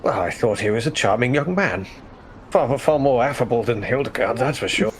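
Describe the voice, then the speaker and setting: A man's voice: medium pitch, animated, moderate pace, slight background noise. A man playing in a tabletop game, speaking casually in character with a dry, courteous manner.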